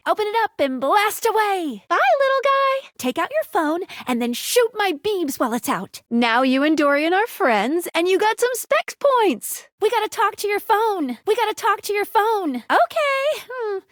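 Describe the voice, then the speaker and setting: A woman voicing a chipper, helpful young female magical guide in a video game, with a perky, playful and expressive manner. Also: extremely high pitch, moderate pace, hardly any pauses, dry recording, clean studio sound, full character voice. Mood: excited, encouraging. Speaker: voice actor playing a guide character in a dating simulator game. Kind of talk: animated video game character dialogue.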